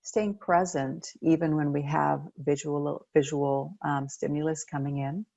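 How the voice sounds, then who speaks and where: A woman leading a guided meditation as a coach, speaking gently and unhurriedly with pauses between phrases. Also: low-pitched, fairly even intonation, creaky, no room sound, muffled recording.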